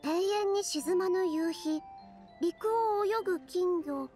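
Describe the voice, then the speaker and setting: アニメ調ゲームで、女性声優が演じる若い森の妖精。柔らかく優しい、ひたむきな話し方。. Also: female voice, extremely high pitch, slow, over loud music. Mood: bright, encouraging. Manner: soft, gentle, earnest. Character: gentle young female forest fairy, kind and earnest. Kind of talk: anime video game voice acting.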